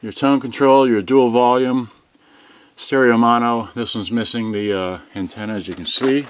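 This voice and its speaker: A man's voice: medium pitch, fairly even intonation, normal speed. A man giving a casual unboxing demonstration, talking half to himself in a distracted way as he handles things. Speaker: product reviewer. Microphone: camera on tripod, varying distance. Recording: clearly noisy home recording with clicks.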